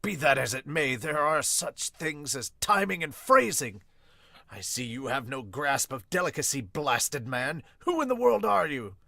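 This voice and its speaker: A man's voice: on the high side, sing-song, unhurried, creaky, bright, forceful tone, dry recording, studio quality, full character voice. A man voicing a stern, indignant old tengu swordsmith with formal, archaic speech, reading a manga aloud. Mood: indignant scolding. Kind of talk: manga read-aloud dub.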